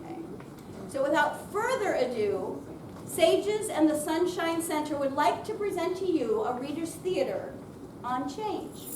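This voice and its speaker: A woman presenter speaking loudly and chattily off the cuff to a live audience, introducing a theater program. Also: sits high, sing-song, a little slow. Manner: loud, projecting, chatty. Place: senior center room, unamplified, before an audience. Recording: distant mic, echoey room, noisy.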